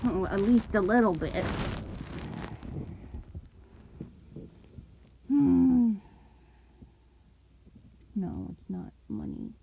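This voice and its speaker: A woman's voice: mid-range voice, animated, slow, vocal fry, noisy background. A woman playing a sleepy, grumpy, innocent young streamer in an ASMR roleplay, mumbling and humming in pouty protest. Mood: coy, grumbly. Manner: drowsy, pouty mumbling with grumbly hums. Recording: loud rustling of fabric close by. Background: rustling, ruffling noises.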